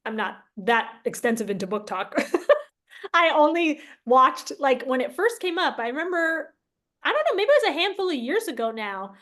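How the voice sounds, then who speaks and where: A woman hosting an informal interview, chatting in an animated, giggly way. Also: high voice. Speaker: interview host. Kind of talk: casual chat.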